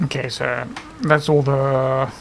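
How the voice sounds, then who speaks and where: A man's voice: mid-range voice, level tone, slow pace, gravelly, a little hiss or hum. A man giving casual gameplay commentary, muttering to himself as he plays. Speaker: gamer recording a playthrough.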